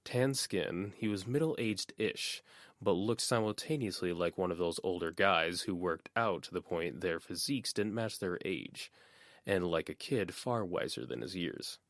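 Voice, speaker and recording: A male audiobook narrator reading prose in a steady, even way. His voice sits very low, and the recording is dry and close, with no room sound. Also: a little slow, dry recording. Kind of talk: scripted narration.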